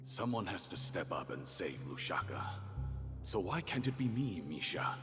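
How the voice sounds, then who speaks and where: An actor playing a brave, gentle, self-sacrificing adventurer in a video game cutscene, earnest and resolute, in long echoing reverb. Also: male voice, low-pitched, big pitch swings, normal speed, over music. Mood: resolute, tender.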